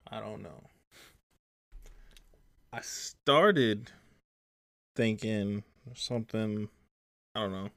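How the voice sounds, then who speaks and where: A man's voice: fairly low pitch, extremely slow, long pauses, fairly bright tone. A man co-hosting a casual podcast, playful and teasing, with slangy banter. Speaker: podcast co-host. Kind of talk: casual chat.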